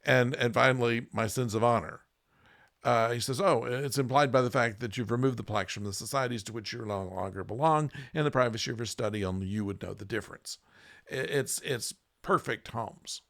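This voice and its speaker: A man on a podcast talking casually about a book and reading long passages from it aloud, halting with fillers and restarts. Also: medium pitch, gravelly, fairly bright tone, dry recording, studio quality. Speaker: podcast host.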